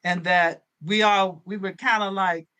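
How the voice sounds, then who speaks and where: A woman's voice: mid-range voice, fairly even intonation, unhurried, a bit bright, clear, resonant tone. A woman of seventy, a personal trainer guesting on a podcast, chatting casually and restarting her sentences. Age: 70s. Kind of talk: casual conversation.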